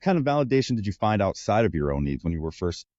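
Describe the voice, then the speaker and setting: A man's voice: fairly low pitch, big pitch swings, quick pace, a little mellow, no echo, highs cut off. A man hosting a business interview show, delivering introductions and questions. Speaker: podcast host, interviewer.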